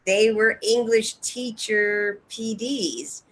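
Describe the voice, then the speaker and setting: A woman speaking frankly in an informal online meeting of teacher trainers, with measured pauses between phrases. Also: a little high. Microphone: online video call.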